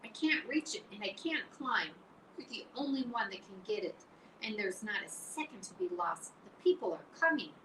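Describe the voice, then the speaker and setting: A woman's voice: mid-range voice, animated, moderate pace, voice pushed up, close to an everyday voice. A woman voicing an anxious, emotional young male pig who pleads and cries out, read as an audiobook. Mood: urgent. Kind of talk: audiobook reading. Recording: roomy, distant mic, faint hiss.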